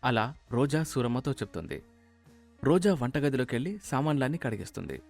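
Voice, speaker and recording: A man's voice: medium pitch, very animated, fairly quick, vocal fry, darkish tone, no echo, faint music behind. A man narrating a scripted moral story as its storyteller.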